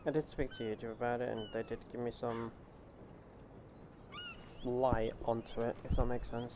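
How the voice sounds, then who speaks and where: A man's voice: medium pitch, level tone, normal speed, dull audio, noisy background. A young man hosting a live game stream, chatting casually and speaking straight to his viewers. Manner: laid-back, talks straight to his viewers. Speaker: streamer host.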